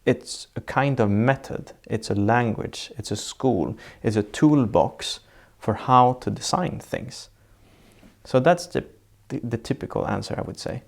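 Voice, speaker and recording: A man with a Swedish accent, a Swedish native speaker, answering interview questions as an architect in a measured, reflective way, laying out ideas step by step. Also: mid-range voice, creaky. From Sweden. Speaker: architect guest. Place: sitting indoors in Norway.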